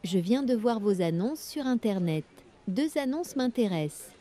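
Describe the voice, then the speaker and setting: A woman reading everyday French dialogues for learners, with clear, careful scripted delivery. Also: on the high side, expressive, unhurried, dark tone.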